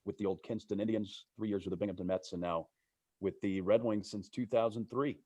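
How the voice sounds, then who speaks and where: A man's voice: on the low side, fairly even intonation, a little fast, warm tone, dry recording. A man who works as a baseball broadcaster, chatting casually as a guest on a video call. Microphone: video call microphone.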